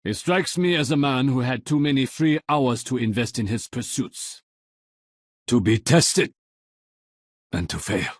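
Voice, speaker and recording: An actor playing a stern, honourable warrior in a gothic game tale, speaking in a terse, formal, solemn way. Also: male voice, medium pitch, measured pace, pauses often, dry recording, studio quality, natural voice. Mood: solemn, musing. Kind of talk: video game character voice acting.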